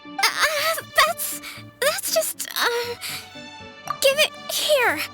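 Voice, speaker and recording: A woman playing a gentle, weary young priestess and leader in a video game, with a soft, breathy, measured delivery. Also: very high-pitched, sing-song, heavily acted. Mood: flustered. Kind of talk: video game character acting. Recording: background music under voice.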